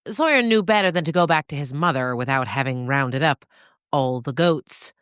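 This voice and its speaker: A woman reading a scripted story as narrator, with dramatic, suspenseful and deliberate phrasing. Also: sits low, lively intonation, a bit bright, clear, resonant tone, no echo, clean studio sound.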